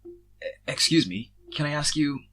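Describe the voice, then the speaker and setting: A man's voice: fairly high pitch, animated, a little slow, pushed and bright, voice pushed up, exaggerated voice. A man voicing an earnest, anxious and awkward young male mage in a fantasy story reading. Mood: awkward, surprised.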